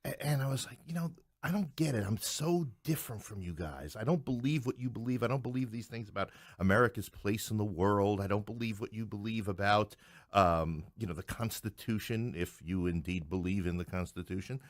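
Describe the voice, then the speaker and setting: A man's voice: lowish voice, fairly quick, no room sound, studio quality. A middle-aged man from Queens, New York, talking as an interview guest in a talkative, digressive, wryly joking way. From Queens, New York City. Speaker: interview guest, playwright and English professor. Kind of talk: anecdotal interview conversation.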